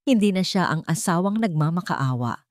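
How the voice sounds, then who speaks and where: A woman narrating a dramatic family story in a slow, scripted storytelling read. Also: fairly low pitch, dry recording, studio quality. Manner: slow, dramatic, even reading.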